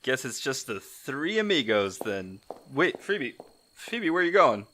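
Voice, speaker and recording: A man acts out a flirty, cocky forger in a heist audio drama. His voice is bright and his pitch jumps around theatrically. He takes his time, teasing and wisecracking as he goes. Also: very animated, a little slow, slight background noise. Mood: eager scheming. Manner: theatrical, teasing, exaggerated delivery.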